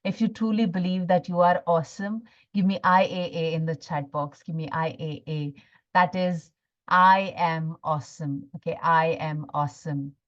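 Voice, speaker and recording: A woman's voice: low-pitched, steady tone, moderate pace, muffled recording. A woman with an Indian accent hosting a live online motivational masterclass, repeating phrases for emphasis and checking in with her listeners. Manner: repeats phrases for emphasis, seeks agreement with "right?". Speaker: workshop host and healing coach.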